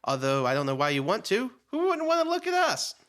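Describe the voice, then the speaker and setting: A man's voice: high voice, wildly swooping pitch, a little fast, a bit bright, heavily acted. A man playing a vampire's wisecracking son, a sarcastic co-host who interjects sardonically in comedy radio theater. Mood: sardonic. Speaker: co-host sidekick.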